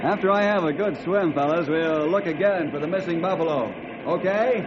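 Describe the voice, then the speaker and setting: An actor playing a young, respectful ranger hero in an earnest, measured old-time radio style. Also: male voice, a little high, noisy background, close to an everyday voice. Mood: casual. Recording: dull old radio recording.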